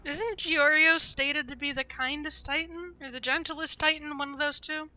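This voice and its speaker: A woman's voice: high voice, fairly even intonation, normal speed, pushed and bright, poor audio. A woman doing casual livestream game commentary, rambling and thinking aloud in a tentative way. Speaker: game streamer. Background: game music.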